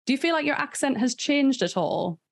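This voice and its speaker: A woman's voice: high-pitched, expressive, fairly quick, dry recording, studio quality. A young woman with a Northern Irish accent, co-hosting a casual podcast, chatty with many "like" fillers. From England and Northern Ireland. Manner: chatty, laughs often, "like" fillers. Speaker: podcast co-host.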